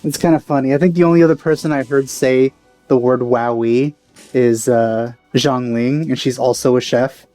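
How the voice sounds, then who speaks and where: A woman's voice: rumbling low, fairly even intonation, normal speed, warm tone, faint music behind. A woman giving casual let's play commentary in easygoing, musing asides.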